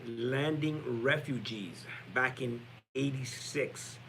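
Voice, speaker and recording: Male interview guest in his forties, bright mid-pitched voice, sharing personal history reflectively with pauses and repeats. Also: a little hiss or hum. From born in El Salvador, moved to Canada at nine. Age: 40s. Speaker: podcast guest, real estate entrepreneur.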